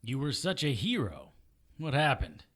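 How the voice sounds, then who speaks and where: A man's voice: medium pitch, huge pitch swings, a little slow, fairly bright tone, full character voice. A man playing a wisecracking, defiant occult investigator in an audio drama, wry and sardonic. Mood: wry defiance. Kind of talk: audio drama acting with first-person narration.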